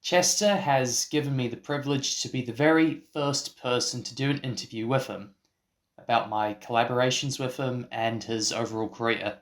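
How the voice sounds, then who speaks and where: A man, speaking in a formal, measured, dry way as he presents a scripted interview. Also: mid-range voice, fairly even intonation. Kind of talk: scripted interview in a radio play.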